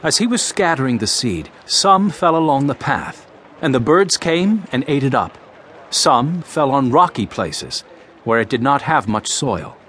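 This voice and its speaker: An actor playing an impassioned male teacher preaching parables in a dramatized audio Bible, with declamatory, deliberate phrasing. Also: medium pitch, big pitch swings, a bit bright, slight background noise. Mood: proclaiming, storytelling.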